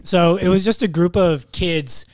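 A man's voice: high voice, a little slow. A young man on a casual podcast who jokes around and mimics others. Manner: joking, mimics people. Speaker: podcast co-host. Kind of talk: casual chat.

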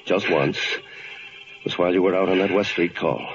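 Low, gravelly, sombre doctor. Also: male voice, normal speed, background noise. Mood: regretful, sombre. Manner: hesitant, regretful. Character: sombre, apologetic hospital doctor. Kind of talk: 1940s radio drama acting. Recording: muffled old-time radio recording, music behind.

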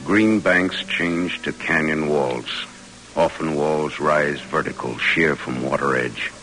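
A very deep-voiced expedition major. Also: male voice, slow, bright, forceful tone, faint music behind, exaggerated voice, low-quality recording. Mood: measured, solemn. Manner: clipped, telegraphic journal phrasing. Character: stoic male expedition leader, army major, dictating journal. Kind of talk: old-time radio drama acting.

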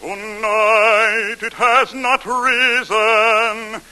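A man's voice: sits high, level tone, low-quality recording. A man performing as one of the three wise men journeying through the desert, singing solemnly in a trained, sustained operatic style on a vintage radio recording. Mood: solemn, yearning. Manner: solemn, drawn-out delivery. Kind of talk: classical operatic singing, solemn dramatic song. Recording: vintage radio recording, loud orchestral music. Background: loud classical music accompaniment.

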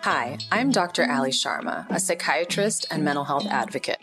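A woman psychiatrist reading a scripted podcast introduction and disclaimer in a measured, formal way. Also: low voice, lively intonation, music in the background.

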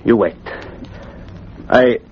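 An actor playing an anxious, reluctant Italian immigrant in a radio drama, pleading in hesitant broken English with an Italian accent. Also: male voice, drawn-out words. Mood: pleading, agitated. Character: anxious, reluctant Italian immigrant man under a crook's thumb. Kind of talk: 1940s radio drama acting. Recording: muffled old radio recording, slight noise.

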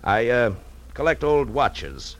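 An actor playing a wry, hard-boiled private detective in a terse, laconic 1940s radio drama style. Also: male voice, mid-range voice, big pitch swings, slow pace, fairly bright tone, a little hiss or hum. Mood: cool, probing. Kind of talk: 1940s radio detective drama acting, first-person narration. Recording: old radio recording, music behind.

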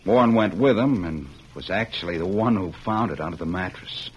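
An actor playing a wronged young cowboy ex-convict in a vintage radio western, speaking plainly and earnestly. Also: male voice, low voice, a little fast, slightly rough. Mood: bitter, earnest. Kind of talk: 1940s-50s radio western acting. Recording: muffled, hissy old radio recording.

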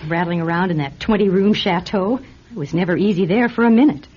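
An actress playing a solicitous woman friend in a radio drama, gently reassuring and coaxing. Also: fairly low pitch, fairly quick, breathy edge. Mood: chatty, sociable. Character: soothing, solicitous woman friend of troubled widow. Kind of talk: old-style radio drama acting. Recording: dull radio recording with music.

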